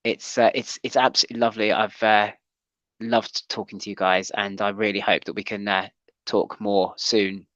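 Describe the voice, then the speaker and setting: A man's voice: fairly low pitch, little pitch movement, moderate pace, fairly bright tone, no echo, lo-fi sound. A man hosting a casual livestream chat show, talking with many ums and restarts. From Wales. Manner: hesitant, full of ums and restarts.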